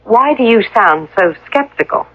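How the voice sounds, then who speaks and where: A woman's voice: mid-range voice, animated, moderate pace, natural voice. An actress playing a polite, anxious young woman stranded at a resort, in a radio drama. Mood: puzzled. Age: young adult. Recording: muffled old radio recording, faint noise.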